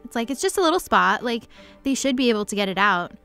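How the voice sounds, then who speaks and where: A woman's voice: on the high side, big pitch swings, quick pace, fairly bright tone, clean tone. A woman giving a casual, improvised comedic recap of a story in sarcastic, valley-girl phrasing. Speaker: recap narrator. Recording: music under the voice.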